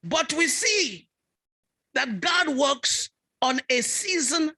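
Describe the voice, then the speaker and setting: A man's voice: very high-pitched, expressive, slow pace, pushed and bright. A man preaching a sermon as a bishop, driving his points with repeated phrases and rising fervour.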